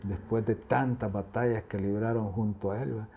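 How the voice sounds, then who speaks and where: A man's voice: fairly low pitch, steady tone, moderate pace, warm tone. A middle-aged or older man with a Salvadoran accent, recollecting the past conversationally in an interview. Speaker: interviewee giving testimony.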